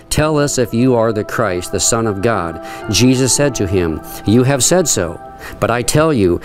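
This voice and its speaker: A middle-aged man leading a tour and teaching, reading scripture aloud and explaining it earnestly, with frequent fillers and restarts, on a stone terrace outdoors. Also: mid-range voice. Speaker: tour guide and Bible teacher. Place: outdoor stone terrace, then an underground rock dungeon.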